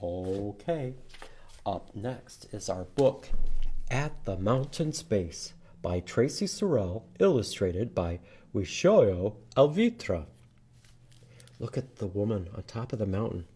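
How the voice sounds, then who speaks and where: Male storytime reader for young children: lively pitch swings, unhurried delivery, participatory and expressive. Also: slightly rough. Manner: expressive, animated, asks listeners to join in. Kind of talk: read-aloud storytime with singing and prompts to children.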